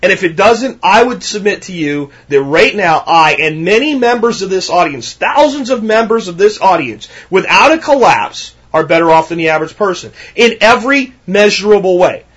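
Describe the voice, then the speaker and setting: A man in his thirties with a high, husky voice delivers a solo podcast monologue. He talks emphatically, hammering points with repetition and rhetorical questions. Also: lively intonation, normal speed, few pauses, fairly bright tone, low-quality recording. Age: 30s. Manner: emphatic, ranting, repeats himself. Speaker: podcast host.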